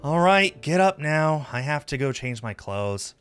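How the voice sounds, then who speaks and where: A man's voice: a little high, big pitch swings, measured pace, a bit bright, clean tone, soft background music, voice pushed up. A man voicing a flustered, apologetic teenage schoolgirl in a visual novel read-aloud. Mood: bossy. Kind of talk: acted visual novel dialogue.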